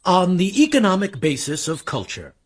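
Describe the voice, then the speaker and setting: An American husband for a radio play. His husky voice moves freely up and down, eager one moment and evasive the next. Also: sits high, wildly swooping pitch, moderate pace, exaggerated voice. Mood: jovial. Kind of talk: radio drama acting.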